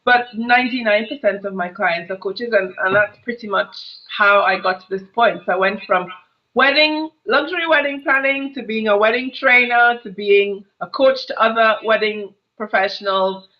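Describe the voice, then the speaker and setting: A woman's voice: medium pitch, moderate pace, lo-fi sound. A woman in her thirties from Trinidad with a Trinidadian accent, a business coach chatting casually in an interview and telling stories with frequent laughter over an earphone headset. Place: home office with brick wall. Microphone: earphone headset on a video call.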